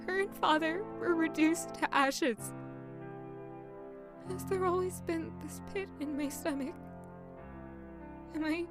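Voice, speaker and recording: An actress playing a grieving, self-blaming young servant woman in an emotive, halting audio drama. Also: very high-pitched. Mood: anguished, self-loathing. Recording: music under the voice. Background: music.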